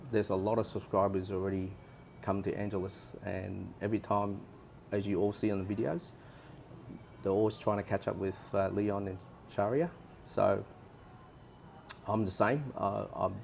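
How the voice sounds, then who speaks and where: A man from Australia speaks as an interview guest, earnest and plain, repeating his advice. His voice sits low and gravelly with very little melody. Faint ambient noise hangs behind him. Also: fairly even intonation, unhurried, a little mellow. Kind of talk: casual advice chat.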